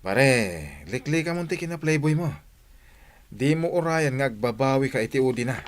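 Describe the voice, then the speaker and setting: A man playing a troubled young man in a radio comedy drama, confiding earnestly with sudden loud bursts. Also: mid-range voice, normal speed, natural voice. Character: young man torn over an arranged engagement.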